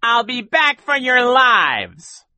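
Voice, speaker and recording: Man playing a menacing, drawn-out storybook villain. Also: sits high, very animated, sharp tone, no echo, voice pushed up, heavily acted. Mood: threatening. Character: sinister, scheming, theatrical male villain.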